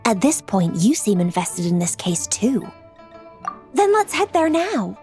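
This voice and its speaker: A woman's voice: mid-range voice, big pitch swings, a little slow, mellow, faint music behind. A woman acting a serious, dutiful young official in a video game. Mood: resolute.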